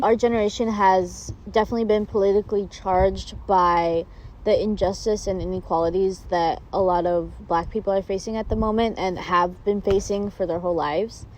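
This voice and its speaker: A woman's voice: medium pitch, dark tone, low-quality recording. A young woman speaking earnestly in an interview about why she votes. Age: young adult. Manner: earnest, uses "definitely" often. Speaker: first-time voter interviewee. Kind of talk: casual interview answers.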